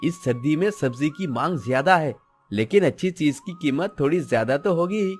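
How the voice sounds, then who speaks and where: High-voiced, polite cartoon vegetable seller played by a man. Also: animated, moderate pace, breathy edge, faint music behind. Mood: gentle, reassuring. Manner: polite, reassuring. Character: honest, gentle young male vegetable seller. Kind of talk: cartoon story acting.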